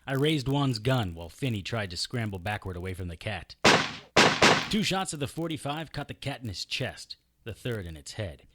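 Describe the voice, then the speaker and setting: Man acting a wry detective with animated delivery. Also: on the low side, moderate pace, heavy background noise, natural voice. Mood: grim narration. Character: wry, unflappable tough male private investigator. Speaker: narrator and lead actor. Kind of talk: hard-boiled detective audio drama acting with first-person narration.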